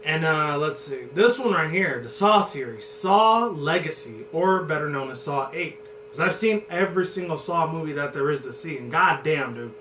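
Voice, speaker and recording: A hoarse man in his twenties, somewhat high-pitched for a guy, rambles on casually and swears freely. He sits back from the mic in an echoey room. A steady hum and background noise run under his voice. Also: normal speed. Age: 20s. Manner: loose and profane, rambles and restarts. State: hoarse from screaming. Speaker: channel host. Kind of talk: rambling casual movie chat. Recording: roomy, distant, noisy with hum.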